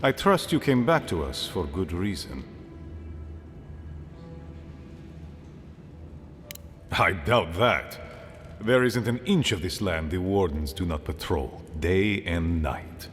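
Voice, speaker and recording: An actor playing a grave, thoughtful council elder in a fantasy game, speaking in a formal, deliberate and solemn way. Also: male voice, low-pitched, sing-song, a little hiss or hum. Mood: grave, measured. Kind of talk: dramatic fantasy game acting. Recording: long hall reverb.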